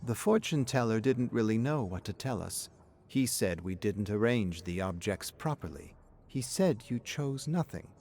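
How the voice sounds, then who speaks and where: A man voicing a gentle, reassuring mother in soft, tender, steady storytelling dialogue. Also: on the low side, unhurried, a little hiss or hum. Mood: tender urging.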